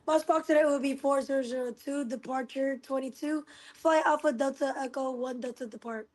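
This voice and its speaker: A woman speaking as a pilot in a flight simulation game, making radio calls and clearance readbacks. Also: sits high, steady tone, a little fast, a little mellow.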